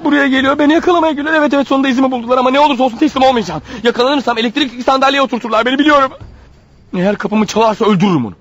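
An actor playing a paranoid, desperate killer on the run in a radio drama, thinking aloud in an agitated, urgent manner. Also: male voice, extremely high pitch, racing, muffled recording. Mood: panicked, defiant. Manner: agitated, urgent, talking his thoughts out loud. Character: desperate, paranoid fugitive killer, a married man. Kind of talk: radio drama acting with spoken-aloud thoughts.

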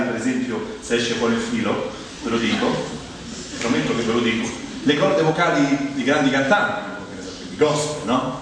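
A man's voice: medium pitch, background noise. A man from Rome with a Roman accent performs a humorous theatrical monologue in a conversational, hesitant way, full of rhetorical asides. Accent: Roman Italian. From Rome, Italy. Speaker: stage monologist and singer. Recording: echoey theatre hall from far off, music behind.

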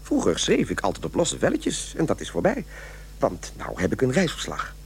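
A man's voice: mid-range voice, huge pitch swings, moderate pace, gravelly, a little hiss or hum. An actor playing a fussy, self-important man who dictates his journal and mutters corrections, in a radio play. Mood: solemn, self-pleased.

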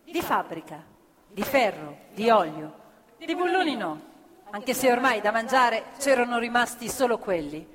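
A woman telling a story on stage, earnest and measured. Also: a little high, big pitch swings, a crowd in the background. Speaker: stage actress narrator. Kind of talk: theatrical storytelling. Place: theatre stage. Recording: live theatre with long reverb. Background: live violin and piano.

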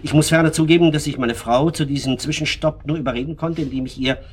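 A man's voice: fairly high pitch, steady tone, fast talker, husky. A man acting in a radio drama as a pompous, evasive husband, wordy and stiffly polite. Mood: awkward self-justifying. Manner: stilted, circumlocutory.

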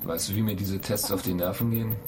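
A man's voice: sits low, steady tone, quick pace, natural voice. A man playing an irritable, ambitious astronaut in a radio play, blunt, impatient and argumentative. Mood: insistent. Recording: clear noise bed behind the voice.

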